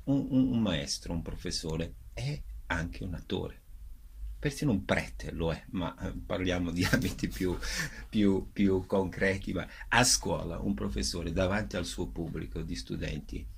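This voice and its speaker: A man speaking as a theatre director in a radio interview, explaining in a halting way as he searches for words. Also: low-pitched, creaky, slightly rough.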